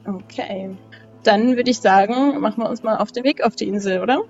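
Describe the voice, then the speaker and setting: A woman speaks casually in character as a role-playing game player. Her pitch is average. The recording is a bit dull, with soft music underneath. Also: brisk.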